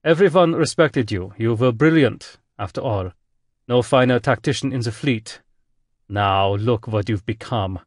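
A man voicing an imperial space admiral with a thick German accent, booming and imperious. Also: measured pace, no echo, clean studio sound, lightly acted. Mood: persuasive.

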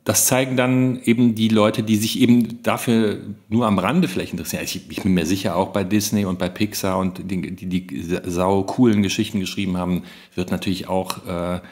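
A man's voice: low-pitched, flat delivery, fairly quick, few pauses, darkish tone. A man co-hosting a podcast in a casual discussion. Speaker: podcast co-host.

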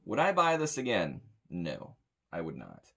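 A man's voice: deep voice, wildly swooping pitch, unhurried, vocal fry, a bit bright. An American man in his thirties giving a casual, rambling gear review as a sound tech, blunt and grumbling. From United States. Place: small indoor room with metal shelving.

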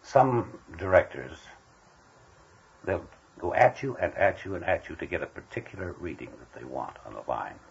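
A man's voice: low voice, very animated, normal speed, creaky, husky. An older man, a veteran actor, reminiscing as an interview guest and repeating phrases for emphasis. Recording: dull, noisy interview tape.

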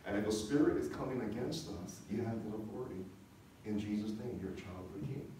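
A man's voice: fairly low pitch, quick pace, warm tone. A man in his thirties preaching a sermon as a pastor, teaching conversationally with repeated points and check-in questions, in a church. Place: church sanctuary, speaking from the stage. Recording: echoey church hall, distant mic, faint noise.

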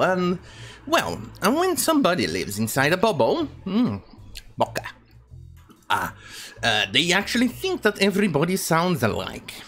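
Indignant non-native man ranting, lively pitch. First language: not English. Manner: indignant, rambling, many fillers. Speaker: commentary channel host. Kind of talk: ranting video commentary. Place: home room with bookshelves.

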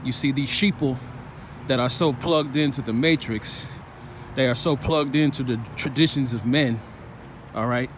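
A man's voice: mid-range voice, normal speed. A man talks while walking down a city sidewalk, preaching his views in a street-slang manner and checking in with "all right?" and "man" again and again. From Chicago, United States. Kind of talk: walking vlog commentary. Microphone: phone held while walking. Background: faint traffic and street ambience.

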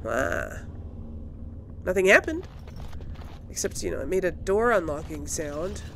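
A woman's voice: fairly low pitch, big pitch swings, measured pace, creaky, slight background noise. A woman doing casual let's play commentary as a gaming host, musing and thinking aloud.

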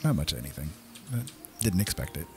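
Low-voiced man commentating on a game. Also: very animated, fast, noisy background. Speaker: gaming video host. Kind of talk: casual let's play commentary. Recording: game music behind voice. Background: game music and outdoor nature sounds.

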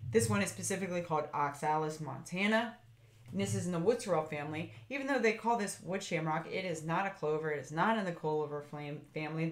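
A woman with a notably low voice walks through a slideshow of wild plants, chatting loosely with plenty of ums and quick self-corrections. The recording carries a clear room echo around her voice-over. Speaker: foraging channel host. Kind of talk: casual narrated plant slideshow.

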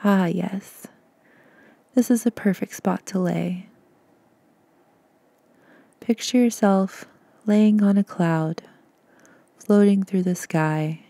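A woman guiding a meditation in a soft, soothing, gentle voice, breathy at times, with unhurried pauses. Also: mid-range voice, no echo. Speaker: podcast host and meditation guide. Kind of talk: guided meditation narration.

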